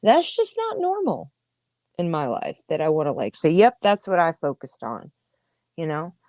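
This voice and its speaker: A woman's voice: deep voice, very animated, moderate pace, darkish tone, no echo, studio quality. A woman in her thirties hosting a podcast, talking candidly and emphatically in a casual livestream monologue, with frequent swearing. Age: 30s. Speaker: podcast host.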